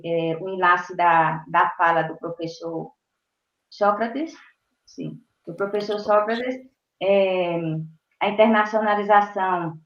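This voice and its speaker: A woman professor from Brazil speaks at a webinar over a video call, pausing often as she searches for words. The sound comes through a roomy space and a dull, band-limited line that blurs the top end. Her pitch sits in an ordinary middle range. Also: fairly bright tone. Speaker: university professor. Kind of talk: webinar talk.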